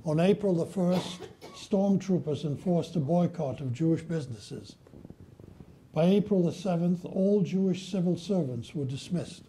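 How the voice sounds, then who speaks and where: An older man from Poland giving a measured, solemn history lecture at a lectern in a library room. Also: on the high side, animated, dark tone, a little hiss or hum, rough recording. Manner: measured, solemn, reads quotations slowly. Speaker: guest lecturer. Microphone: at a lectern, filmed by a far camera.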